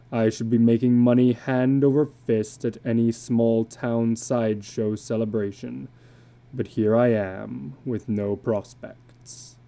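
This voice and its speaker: Male reader as weary middle-aged drifter, very dark tone, dragging pace, resigned, dramatic storybook. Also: mid-range voice, gravelly. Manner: slow, heavy, resigned delivery. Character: weary, heavyset tattooed carnival drifter, a middle-aged man.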